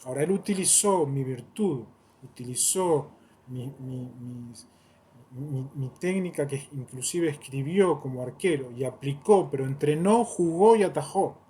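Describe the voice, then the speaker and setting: A man's voice: mid-range voice, expressive, unhurried, dark tone, slight background noise. A man giving a long, rambling monologue in a solemn, declaiming way, repeating phrases for emphasis. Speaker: self-styled theologian.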